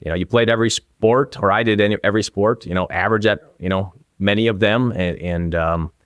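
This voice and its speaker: A man's voice: fairly low pitch, fairly even intonation, a little fast, no echo, lo-fi sound. A man in his forties with an Upper Midwestern American accent, talking casually and anecdotally as an interview guest. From northern Minnesota, USA. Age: 40s. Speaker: guest, real estate agent.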